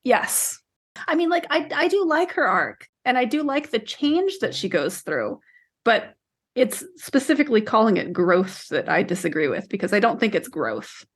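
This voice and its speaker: A woman's voice: on the high side, very animated, moderate pace. Woman debating books in casual chat. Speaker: podcast co-host. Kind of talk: casual book discussion.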